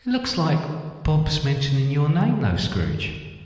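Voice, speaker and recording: Man as stately pantomime spirit, commanding, deliberate, swooping intonation, drenched in reverb. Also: a little high, wildly swooping pitch, darkish tone, soft background music, heavily acted. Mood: calm, stately. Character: commanding ghost of Christmas guiding Scrooge. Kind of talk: comic amateur pantomime acting. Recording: echoey, long reverb.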